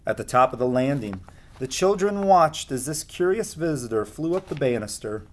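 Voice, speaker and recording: A man reads a picture book aloud in a mid-range voice that moves up and down with great liveliness. He is expressive and speaks directly to young listeners, slipping between narration and casual asides. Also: mid-range voice, normal speed.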